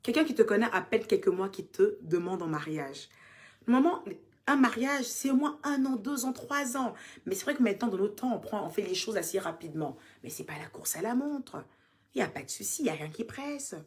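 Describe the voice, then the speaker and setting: A woman's voice: medium pitch, animated, a little fast. Chatty Ivorian woman answering fans. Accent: Ivorian French. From Côte d'Ivoire. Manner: chatty and teasing, calls fans "ma chérie". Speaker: social media personality answering fan questions. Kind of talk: casual live Q&A reading viewer comments.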